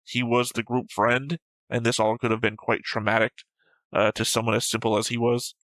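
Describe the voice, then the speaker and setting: A man's voice: mid-range voice, flat delivery, normal speed, a bit bright, no room sound, poor audio. A man co-hosting a reaction show, chatting casually about a series in a flippant, argumentative way. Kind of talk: casual discussion of an anime series.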